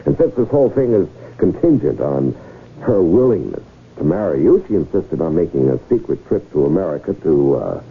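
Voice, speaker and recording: An actor playing a smooth, pushy con man with persuasive salesman patter in a 1970s radio drama. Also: very deep voice, very animated, full character voice. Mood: coaxing. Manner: fast-talking persuasive salesman patter, emphatic. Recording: dull, faintly noisy old radio recording.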